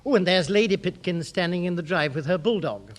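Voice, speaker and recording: An actor playing an eager, dim junior English civil servant in a radio sitcom, boyishly keen and prone to stammer. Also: male voice, high voice, lively intonation, fairly quick. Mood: eager, bumbling. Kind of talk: British radio sitcom acting. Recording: noisy old radio comedy recording.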